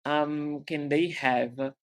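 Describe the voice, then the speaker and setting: A young Brazilian man with an American-leaning accent, co-hosting a relaxed English-learning podcast with frequent fillers. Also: fairly high pitch, very slow, dry recording. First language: Portuguese. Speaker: podcast co-host. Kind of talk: casual podcast chat in English.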